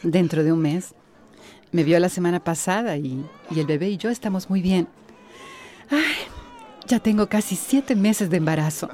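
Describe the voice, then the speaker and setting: A woman's voice: fairly low pitch, moderate pace, mellow, natural voice. A woman playing a young, worried and candid expectant mother in a radio drama, sighing and confiding. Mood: weary, friendly. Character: worried, candid pregnant woman, kindly community member.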